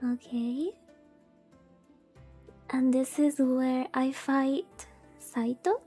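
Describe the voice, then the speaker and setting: High-voiced, mellow woman streamer. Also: drawn-out words. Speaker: VTuber streamer. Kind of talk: casual chat while playing a visual novel. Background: game music.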